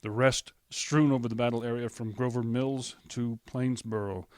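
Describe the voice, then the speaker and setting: An actor playing a male studio announcer in a 1930s radio drama, grave and measured with small stumbles. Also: mid-range voice, fairly even intonation, darkish tone, no echo, lightly acted.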